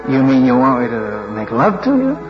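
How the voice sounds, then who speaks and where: A man's voice: medium pitch, wildly swooping pitch, moderate pace. An actor playing a boastful, awkwardly romantic young working man in an old radio drama, brash and blustering. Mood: bashful, blustering. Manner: brash, blustering, self-admiring. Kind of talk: 1940s radio drama acting. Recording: muffled old radio broadcast with music.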